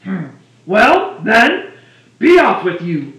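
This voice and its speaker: Mean ugly storybook troll, acted by a woman, booming roar, slow menacing delivery, echoey room. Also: sing-song, a bit bright. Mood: grudging. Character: mean, ugly, roaring troll under a bridge. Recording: roomy echo, distant from the mic.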